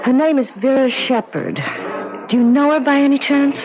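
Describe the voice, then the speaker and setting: A woman's voice: high-pitched, animated, normal speed, crowd noise. An American actress playing a worried, proper, strong-willed woman in a 1970s radio drama, earnest and insistent. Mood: concerned, insistent. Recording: dull 1970s radio broadcast, music behind.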